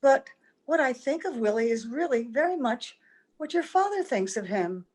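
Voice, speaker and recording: A woman playing a wistful, secretive gentlewoman in old-time radio drama style, earnest and gentle with a melodramatic touch. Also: high-pitched, lively intonation, normal speed. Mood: gentle, concerned. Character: wistful, secretive mother posing as a young visitor. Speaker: actor in an amateur radio play. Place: at home, recorded over video call.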